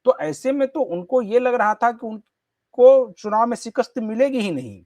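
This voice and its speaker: A man's voice: extremely high pitch, animated, normal speed, darkish tone, no room sound, studio quality. A man hosting a news discussion, giving commentary and putting questions emphatically, repeating phrases for stress. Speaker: news show host.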